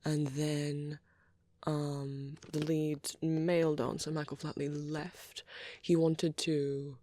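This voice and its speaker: A performer voicing a shy, bashful, nerdy young male vampire boyfriend in a soft, hesitant, rambling ASMR roleplay. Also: mid-range voice, slow pace, dry recording, natural voice. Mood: shyly enthusiastic rambling. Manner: hesitant, stammering, apologetic, excitable when rambling.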